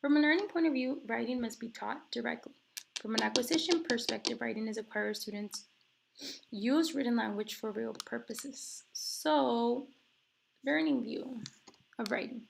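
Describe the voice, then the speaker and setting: A woman's voice: a little high, normal speed, noisy background. A woman presenting a textbook chapter, reading from slides and explaining them casually with many fillers, while recovering from an illness and coughing now and then. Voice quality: gravelly fry. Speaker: student presenter.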